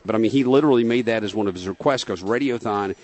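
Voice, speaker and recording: Lowish-voiced male radio host. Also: fast talker, a little mellow. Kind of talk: casual live broadcast talk.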